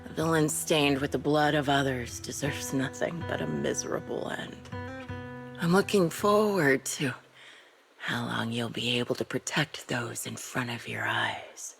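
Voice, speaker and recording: Game villainess, low-voiced, unhurried, bitter and world-weary gunfighter with a cold taunting edge, guitar music underneath. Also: female voice, creaky, exaggerated voice. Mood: resigned, wry. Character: bitter, hate-weary female vengeful gunfighter, coldly taunting, resigned. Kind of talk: dramatic video game voice acting. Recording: music behind the voice.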